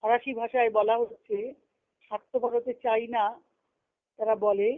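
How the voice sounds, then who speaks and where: A woman's voice: fairly high pitch, little pitch movement, unhurried. A woman giving a devotional lesson as a spiritual teacher, reading and explaining in an instructive way, repeating phrases for emphasis. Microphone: conference call line. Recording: muffled, narrow phone-like conference call.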